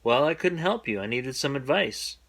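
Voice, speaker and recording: Man reading as a bright-voiced, matter-of-fact schoolboy friend. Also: medium pitch, animated, normal speed. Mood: defensive. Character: calm, helpful schoolboy friend Buster from a picture book.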